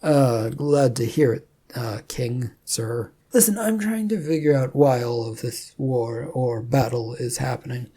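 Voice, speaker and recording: A woman's voice: a bass voice, lively intonation, measured pace, hardly any pauses, mellow, husky, heavily acted. A woman voicing a blunt, weary male adventurer in an audio storybook, plain-spoken and sardonic. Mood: awkward, probing.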